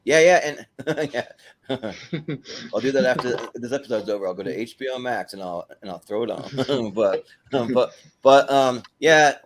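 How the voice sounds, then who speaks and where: A man's voice: medium pitch, moderate pace. Man, panel show host, casual chat, frequent chuckles, mid-range voice. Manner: chuckles often between phrases.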